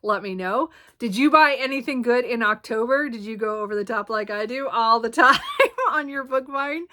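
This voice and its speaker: Female book vlogger, casual and chatty, bright tone, slight hoarseness, meandering delivery full of fillers. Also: on the high side, moderate pace. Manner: rambling, many ums and self-corrections. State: slightly hoarse, clearing throat. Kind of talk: casual book haul chat.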